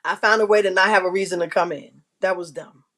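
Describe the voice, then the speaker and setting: A woman hosting a live online show, telling a candid personal story to her viewers in a big, carrying voice, frank and self-mocking, often clearing her throat. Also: lowish voice, steady tone, fast, fairly bright tone.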